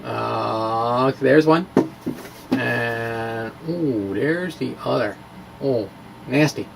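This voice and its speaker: A man giving a dramatic reading of a science blog, halting and theatrical, with informal wry asides. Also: mid-range voice, vocal fry, slight background noise, rough recording. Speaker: physics blogger.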